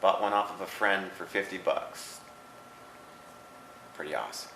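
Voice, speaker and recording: Bright lowish American man, casual. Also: moderate pace, creaky. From Bakersfield, California, USA. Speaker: band bass player. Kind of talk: casual band interview. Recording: roomy echo, faint noise.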